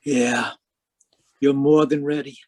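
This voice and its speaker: A man's voice: medium pitch, fairly even intonation, slow pace, a little mellow. A man playing a cynical, world-weary private eye in 1940s radio style, with a terse, clipped manner. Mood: curt, wry. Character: hard-boiled male private eye, wry and cynical. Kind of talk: 1940s radio detective acting and narration.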